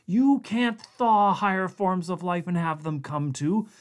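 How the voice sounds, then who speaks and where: A man voicing a small, excitable male scientist who lectures insistently. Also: high-pitched, moderate pace, pitched above the performer's own voice, full character voice. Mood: frantic.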